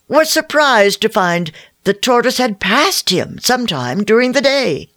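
A storyteller narrating a children's fable from a script in an expressive way. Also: medium pitch.

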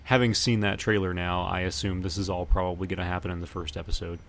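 A man hosting a podcast in casual chat, often pausing partway through his sentences. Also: low-pitched, little pitch movement. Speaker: podcast host. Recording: noticeable background noise.

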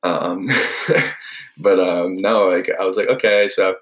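A man's voice: low-pitched, level tone, slow pace. A young man hosting his own podcast, telling a story in a casual, rambling way full of filler words. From New England. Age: young adult. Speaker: podcast host.

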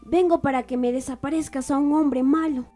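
A woman's voice: sits high, steady tone, moderate pace, dark tone, soft background music, lightly acted. A woman playing a vengeful, grieving village mother in a radio drama, deferential yet determined. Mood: resolute, vengeful.

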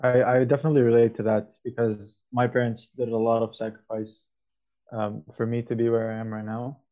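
A man's voice: medium pitch, level tone, brisk, dark tone. Casual young man, dull lo-fi sound. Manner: casual, with many "like" fillers. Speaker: podcast co-host. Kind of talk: interview questions.

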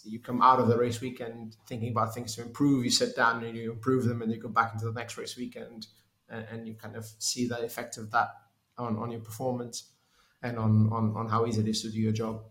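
Man with a flat, hesitant delivery. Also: mid-range voice, fairly quick, hardly any pauses, reverberant. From Portugal. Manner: hesitant, many restarts and fillers. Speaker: race engineer guest. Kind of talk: conversational interview.

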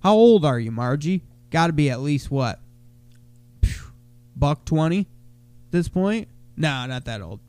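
A young man co-hosting a casual podcast, stuffy from a cold, talking in loud, crude, slangy banter. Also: fairly high pitch, very animated, measured pace, long pauses, no room sound. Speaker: podcast co-host.

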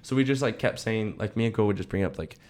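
A young man with a slightly low voice co-hosts a podcast. He tells stories casually and slangily, acting out the people in them in an animated way. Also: rapid-fire. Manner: animated slangy storyteller, acts out quotes. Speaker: podcast co-host. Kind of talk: casual chat, storytelling.

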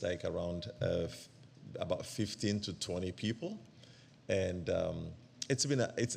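Halting, gravelly guest preacher, man. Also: sits low, darkish tone. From Ethiopia. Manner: hesitant, halting, many fillers and restarts. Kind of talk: church sermon.

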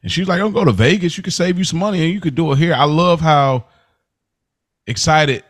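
A man in his thirties or older with an American accent, hosting a casual TV recap chat and thinking out loud. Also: a little high, brisk. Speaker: podcast host.

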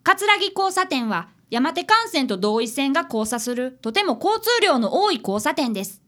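A woman voices an eager fifth-grade boy in a detective radio drama. The voice is high, bright and animated. He is polite and earnest, with a Kansai accent, as he narrates and talks. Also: normal speed. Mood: earnest explaining. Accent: Kansai Japanese.

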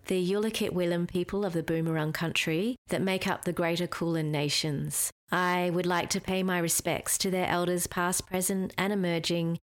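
A woman hosts a podcast interview in a slightly low voice. She is polite and well prepared, guiding her guest with clear questions. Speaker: podcast host. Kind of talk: hosted interview.

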